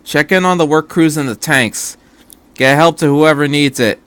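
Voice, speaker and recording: A man voicing a weary, commanding female military officer in a story reading, giving brisk, exasperated orders. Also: a little high, a bit bright, smooth, no echo, close to an everyday voice.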